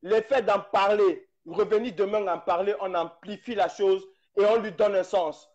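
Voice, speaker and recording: A man's voice: high-pitched, steady tone, normal speed, a little mellow. A man speaking passionately on a live stream, hammering points with rhetorical questions and repetition. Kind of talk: impassioned political talk. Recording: dull lo-fi live stream audio.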